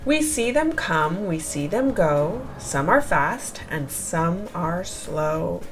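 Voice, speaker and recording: Slow, expressive woman reading to children. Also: on the low side, soft background music, rough recording. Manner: expressive, sing-song, deliberate phrasing. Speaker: children's picture book reader. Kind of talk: read-aloud storytelling for young children.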